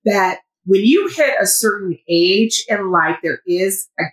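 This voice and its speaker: A woman in her fifties, a guest in an interview, talking loudly and with enthusiasm. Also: mid-range voice, animated, unhurried, echoey room, poor audio. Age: 50s. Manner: loud, emphatic, enthusiastic. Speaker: guest, founder of a women's community. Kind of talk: conversational interview.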